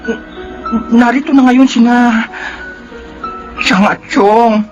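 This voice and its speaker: A woman with a Filipino accent playing a caring, gentle young cousin in a radio drama. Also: a little high, steady tone, slow. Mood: gentle, hesitant. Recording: dull, band-limited broadcast with music bed.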